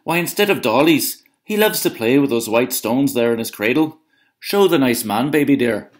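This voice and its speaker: A man's voice: mid-range voice, animated, normal speed, voice pushed up, natural voice. A man voicing a clever giant's wife who speaks in a sugary, coaxing way. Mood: sweetly coaxing.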